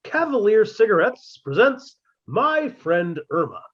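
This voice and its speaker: A man's voice: high voice, sing-song, a little slow, slightly rough, dull audio. A man organizing an amateur group reading and announcing it in an old-time radio manner. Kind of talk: mock 1950s radio announcing.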